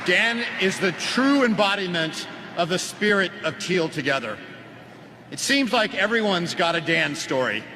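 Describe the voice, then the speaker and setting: A man's voice: fairly high pitch, pushed and bright. A man giving a prepared tribute speech at a ceremony as a team president, over the PA in a large indoor arena. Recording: noisy arena PA, long reverb.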